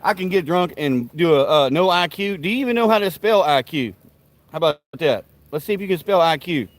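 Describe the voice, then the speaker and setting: A man with a slightly high voice talks loosely to his live-stream viewers from outdoors at a backyard grill. His banter is folksy and rambling, full of cussing and rowdy trash talk aimed at the chat. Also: animated, a little fast, no room sound. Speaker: livestream host.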